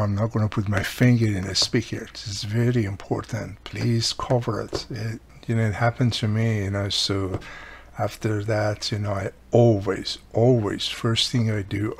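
Middle-aged man, gravelly fry, casual tutorial delivery, explanatory, faint-to-clear background noise. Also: lowish voice, little pitch movement, normal speed. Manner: explanatory, repeats "you know" and cautions. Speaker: radio repair video host. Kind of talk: casual hands-on repair tutorial. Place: indoor workbench.

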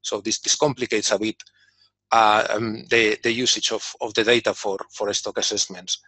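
A man's voice: on the low side, flat delivery, normal speed, pushed and bright, no echo, low-quality recording. A man who speaks as his gives a prepared conference keynote over a video call, with many fillers and repeated words. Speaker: industry representative giving a keynote. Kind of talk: prepared conference talk read from a script.

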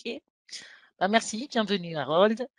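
A woman's voice: medium pitch, animated, unhurried, no room sound. A woman in her thirties hosting an informal video call panel and presenting the guests. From lives in Mexico. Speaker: host.

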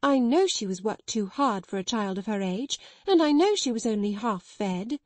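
A woman doing a timid spinster schoolmistress, high and tearful, shrill outbursts, dry close sound. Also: expressive, moderate pace, darkish tone, dry recording, close to an everyday voice. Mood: timid, pleading. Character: timid middle-aged spinster schoolmistress, turned hysterical.

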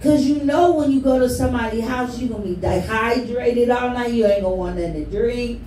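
A woman's voice: fairly high pitch, a little fast, dark tone, slight background noise. A woman doing a profane, crowd-roasting stand-up set at an open mic in a small barbershop venue, heard from the back of the room. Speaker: stand-up comic. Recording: roomy, from far off, crowd behind.

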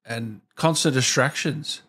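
A man's voice: medium pitch, measured pace, fairly bright tone, slightly rough. A man in his thirties interviewing a guest in casual chat, on a close studio microphone. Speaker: host, interviewer. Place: small indoor streaming room. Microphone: studio microphone close in front.

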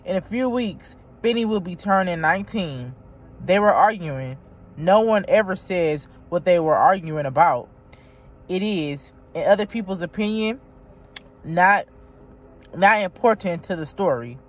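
A woman vlogging from inside her car, reading aloud haltingly and chatting straight to her viewers. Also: lowish voice, expressive. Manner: halting read-aloud, stumbles and restarts; chatty, talks directly to viewers. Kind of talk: casual vlog, reading aloud.

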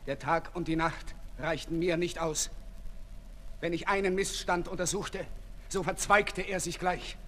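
A man's voice: on the high side, steady tone, a little slow, sharp tone. A man playing an ailing, embittered revolutionary in a 1960s German radio drama, declaiming with intensity. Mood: weary, brooding. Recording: echoey hall, noisy 1960s radio recording.

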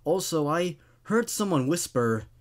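A man's voice: on the high side, very animated, unhurried. A man in his twenties hosting and reading horror stories expressively, with dramatic delivery and casual, wry asides. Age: 20s. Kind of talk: expressive story narration with casual commentary. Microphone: studio condenser microphone on a stand.

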